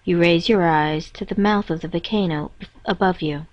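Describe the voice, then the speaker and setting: A woman reading a scripted guided meditation in a measured, instructing manner with pauses between phrases. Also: on the low side. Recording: dull, roomy echoing lo-fi recording.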